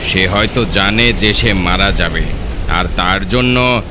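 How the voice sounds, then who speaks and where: A royal minister, played by a man in a cartoon folk tale. His lowish voice is measured, cautious and deferential. Clear background noise surrounds him. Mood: thoughtful.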